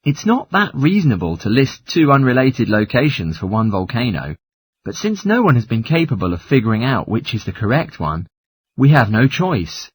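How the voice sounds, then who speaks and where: A man's voice: mid-range voice, big pitch swings, moderate pace, slightly rough. A man playing an agreeable geography student in a scripted listening-test study dialogue. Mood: agreeable, reasoning. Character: male geography student, agreeable, a little nervous.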